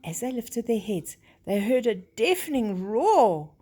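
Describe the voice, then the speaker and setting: A woman's voice: fairly high pitch, very animated, measured pace, dark tone. An older woman storyteller reading a children's story expressively, with chatty asides that explain things simply.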